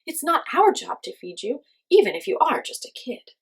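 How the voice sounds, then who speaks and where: A woman's voice: high voice, sing-song, normal speed, pitched above the performer's own voice. A woman voicing stingy, scornful animal villagers in a children's story, scolding and mocking.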